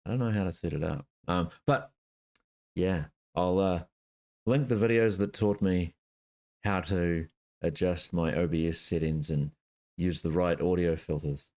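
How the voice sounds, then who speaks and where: A man in his fifties from New Zealand, with a Kiwi accent worn down by years abroad, introducing himself in a halting, unscripted way full of fillers and self-corrections. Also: low voice, highs cut off. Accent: New Zealand, softened by years abroad. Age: 50s. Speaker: channel host. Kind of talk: casual self-introduction to camera. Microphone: laptop with webcam and desk microphone.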